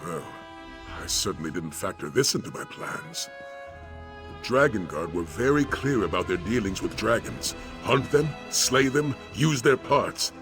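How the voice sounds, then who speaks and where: An actor playing a steadfast, pragmatic dragon hunter in a video game, with a formal, earnest delivery. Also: male voice, on the low side, lively intonation, unhurried, music in the background. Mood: resolute. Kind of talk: dramatic video game dialogue.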